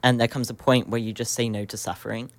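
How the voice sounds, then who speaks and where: A man in his twenties, a radio guest, speaking reflectively and searchingly with many pauses and soft laughs. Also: mid-range voice, level tone. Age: 20s. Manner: searching and thoughtful, many ums, laughs often. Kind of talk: reflective radio interview talk.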